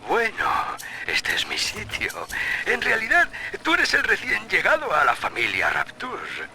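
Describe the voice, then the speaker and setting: An actor playing a chatty, conniving frustrated writer in a video game audio diary, sly in manner. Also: male voice, high-pitched, huge pitch swings, a little slow, full character voice. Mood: smug, conspiratorial.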